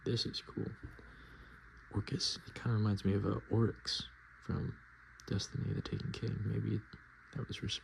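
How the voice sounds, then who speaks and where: Hushed, gravelly low-voiced ASMR man. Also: flat delivery, moderate pace, a little hiss or hum, low-quality recording. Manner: hushed, casual murmured asides. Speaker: ASMR video host. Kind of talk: ASMR, softspoken and whispered card show-and-tell.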